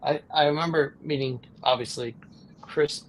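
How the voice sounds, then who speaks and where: A man in his thirties chatting casually, quiet and halting, with a husky voice. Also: on the high side, fairly even intonation, a little hiss or hum, poor audio. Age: 30s. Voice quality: husky, gravelly voice. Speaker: guest. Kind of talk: casual chat. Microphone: poor mic.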